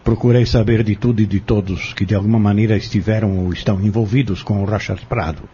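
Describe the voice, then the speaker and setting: A man's voice: lowish voice, steady tone, fast talker, dark tone, muffled recording, a little hiss or hum, natural voice. An actor in an audio drama playing an insistent, scheming man who goads and persuades in formal wording, bent on exposing a corrupt family.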